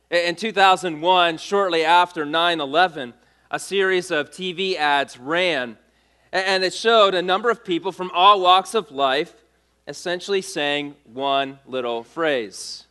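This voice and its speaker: Male pastor, bright and crisp, measured delivery with repeated questions, preaching in a church. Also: fairly high pitch. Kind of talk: sermon.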